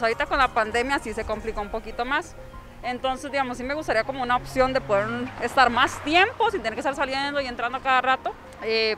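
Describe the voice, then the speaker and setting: Woman in a street interview, somewhat high voice, unsure and hesitant, with soft music beneath. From Costa Rica. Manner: hesitant, many fillers. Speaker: athlete interviewee. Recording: faint music underneath.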